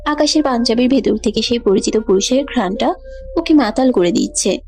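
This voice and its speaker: Woman narrator with a fairly high voice. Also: animated, brisk, a little mellow. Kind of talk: scripted story narration.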